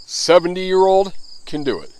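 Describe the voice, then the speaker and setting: A man's voice: high-pitched, big pitch swings, unhurried, a little mellow, clean tone, rough recording. A man in his fifties giving a rambling, self-deprecating running commentary as he spray paints. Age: 50s. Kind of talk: running commentary while working.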